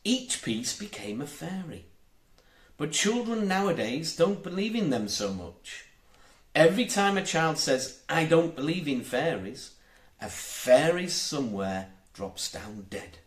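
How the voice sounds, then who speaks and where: Guy doing a cocky storybook boy, boastful n lively, emphatic, roomy sound. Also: fairly high pitch, measured pace. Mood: explaining. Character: boastful, lively young boy who never grows up. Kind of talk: storybook character voice.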